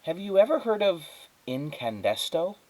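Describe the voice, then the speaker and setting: A man's voice: high-pitched, big pitch swings, moderate pace, darkish tone, pitched above the performer's own voice, rough recording. A man voicing an earnest, matter-of-fact young girl in a storybook, plain and insistent.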